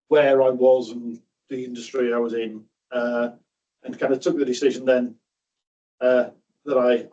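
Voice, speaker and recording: A man's voice: medium pitch, dark tone, recorded from a distance, dull audio. A middle-aged man from South Yorkshire giving a conference talk on railway projects, hesitant and self-deprecating, often repeating words. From South Yorkshire, England. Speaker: railway project engineer, presenter. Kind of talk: conference presentation. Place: meeting room.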